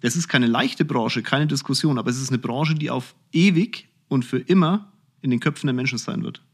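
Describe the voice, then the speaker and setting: A man's voice: mid-range voice, normal speed, husky. Podcast host, man, mid-range voice, blunt and plain-spoken. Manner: blunt, direct, colloquial. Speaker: podcast host being interviewed, finance executive. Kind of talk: casual podcast interview.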